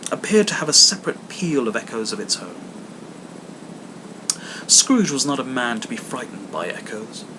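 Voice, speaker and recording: Guy reading an old ghost story aloud, dramatic storyteller delivery, mid pitch, noticeable rumbly background noise. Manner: dramatic, with emphatic stresses and hushed drops. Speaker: audiobook reader. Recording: clear background noise, low rumble and hum.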